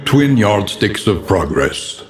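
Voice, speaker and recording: A long, cavernous reverb surrounds a brooding gothic game narrator. His low voice moves very slowly and deliberately through each phrase. The delivery is grave, portentous and theatrical, as befits a solemn teller of horror. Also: very animated, faint music behind. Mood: solemn, encouraging. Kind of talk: scripted dramatic narration.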